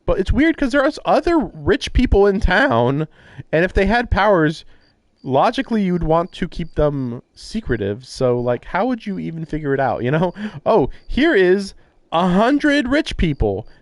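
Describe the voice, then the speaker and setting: A man giving casual live-stream commentary on a game, thinking aloud in halting, musing fragments. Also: sits high, big pitch swings, dry recording. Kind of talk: casual live commentary on a visual novel playthrough. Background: music.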